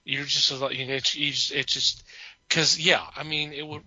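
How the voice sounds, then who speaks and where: A man chatting casually about films as a podcast co-host, in a halting way that repeats words and restarts sentences. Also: a little high, flat delivery, bright, forceful tone, dry recording, poor audio. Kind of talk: casual film chat.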